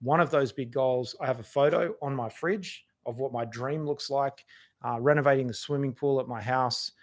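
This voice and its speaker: Hoarse Australian man giving chatty advice. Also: mid-range voice, moderate pace. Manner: chatty, encouraging, full of restarts and "kinda". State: losing his voice. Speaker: company CEO giving a live video talk. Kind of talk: casual motivational live talk.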